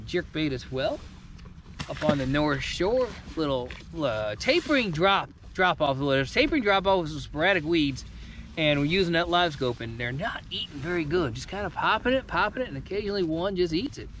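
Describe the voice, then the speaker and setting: A middle-aged man hosting a casual fishing vlog from a boat on a lake, folksy and excitable, picked up by an action camera. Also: high-pitched. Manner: excited, folksy, exclaims at catches. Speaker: fishing video host. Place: on a bass boat on a lake outdoors. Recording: outdoor wind and boat noise.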